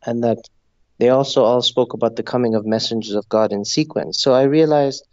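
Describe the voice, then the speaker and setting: A man's voice: medium pitch, steady tone, brisk, a little mellow, no room sound. Zambian man, earnest interview voice, dull dry sound. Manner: thoughtful, earnest storytelling. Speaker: interview guest, novelist. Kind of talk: conversational interview answers.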